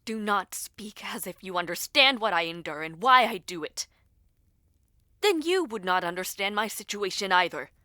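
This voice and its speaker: A woman's voice: mid-range voice, animated, normal speed, pushed and bright, dry recording, clean studio sound. A woman acting a defiant, proud young prince. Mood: furious. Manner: scornful, challenging.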